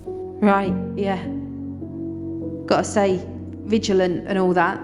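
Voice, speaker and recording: Unhurried, teasing crewwoman, played by a woman. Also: medium pitch, flat delivery, lightly acted. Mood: matter-of-fact. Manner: wry, colloquial, teasing. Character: blunt, teasing, easygoing starship crewwoman. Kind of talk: audio drama acting. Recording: long hall reverb, music behind.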